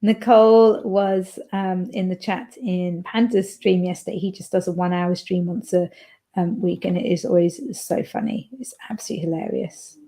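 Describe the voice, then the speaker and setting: A woman's voice: fairly low pitch, fairly even intonation, moderate pace, warm tone. A woman hosting a writing livestream, chatting casually and playfully as she reads chat messages aloud.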